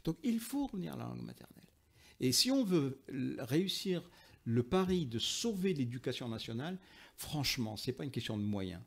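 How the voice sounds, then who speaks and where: A man with a noticeably creaky voice at a middling pitch. He talks as an invited expert in an interview, explaining his position emphatically and rephrasing as he goes. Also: big pitch swings, moderate pace. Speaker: interview guest, linguist and author. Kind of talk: explanatory interview talk, argumentative.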